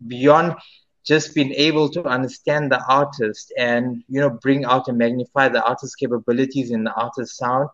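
Man in his thirties from South Africa, rambling interview answers, restarts, mid pitch, lo-fi audio. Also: fairly even intonation, normal speed, fairly bright tone. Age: 30s. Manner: rambling, many restarts and fillers. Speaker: singer-songwriter guest.

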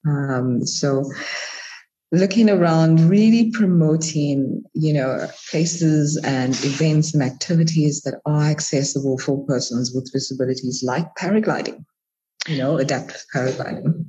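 A South African woman speaks as a guest in a casual interview. Her voice sits at a low pitch for a woman, and she talks in a halting, circling way, rephrasing as she explains. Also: mellow, lo-fi sound.

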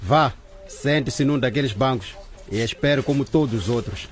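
Actor as curt, mid-pitched policeman. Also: normal speed, music in the background, lightly acted. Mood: brusque. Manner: curt, bureaucratic. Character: brusque, indifferent policeman. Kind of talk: radio drama acting.

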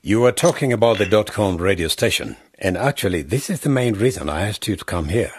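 An actor playing a vain, pompous member of parliament who insists on being called honorable, in a radio drama. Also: male voice, fairly low pitch, lively intonation, fairly quick, a little hiss or hum. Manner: pompous, insists on his title. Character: vain smooth-talking male politician, middle-aged.

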